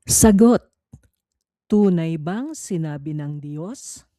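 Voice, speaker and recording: A woman reading a scripted Bible quiz in a measured, formal, instructional manner. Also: deep voice, animated, dark tone, no echo, studio quality. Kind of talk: scripted read-along narration.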